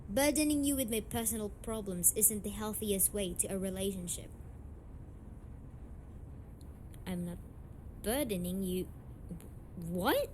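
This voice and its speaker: A woman's voice: medium pitch, lightly acted. Woman acting a defensive young delinquent girlfriend, noisy recording. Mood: defensive, upset. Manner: hesitant, halting, foul-mouthed, defensive. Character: young tsundere delinquent girlfriend, defensive, blunt, secretly hurting. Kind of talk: amateur romantic audio roleplay acting.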